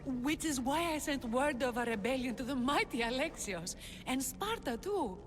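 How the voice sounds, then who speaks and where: An actress playing Kira, a fierce, sharp-tongued rebel leader in a game cutscene. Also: female voice, high-pitched, expressive, normal speed, faint music behind. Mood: urgent. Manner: sharp, teasing, commanding. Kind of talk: video game cutscene acting.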